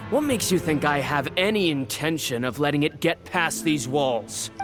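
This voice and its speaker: An actor playing a bitter, arrogant rival villain in a video game, with a mocking, theatrical and disdainful delivery. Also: male voice, fairly high pitch, animated, unhurried, over music. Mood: smug, mocking.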